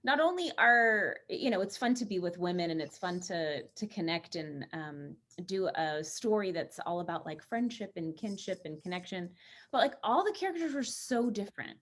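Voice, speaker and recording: A woman's voice: on the low side, animated, moderate pace, fairly bright tone. A woman, an actress, talking casually and conversationally with castmates, peppering her sentences with "like". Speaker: actress, cast member. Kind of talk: casual chat.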